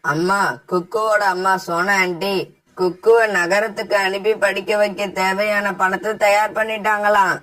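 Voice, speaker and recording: A man's voice: sits high, little pitch movement, moderate pace, sharp tone. A man voicing a pushy, scheming young crow son in a cartoon fairytale, with a scolding, urging manner. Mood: reproachful. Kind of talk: animated cartoon fairytale dialogue.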